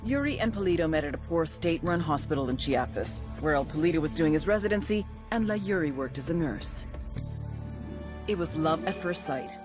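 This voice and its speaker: A woman's voice: low voice, lively intonation, brisk. A hard-edged female agent. She speaks in a terse, driven, commanding way, as in a game's mission briefing. Music plays behind her. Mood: grim, storytelling. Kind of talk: video game dialogue and dramatic briefing narration.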